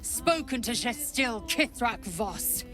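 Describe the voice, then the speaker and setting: A woman's voice: on the high side, animated, slow pace, over music. A woman voicing a proud, defiant warrior in a fantasy video game, emphatic and accusing.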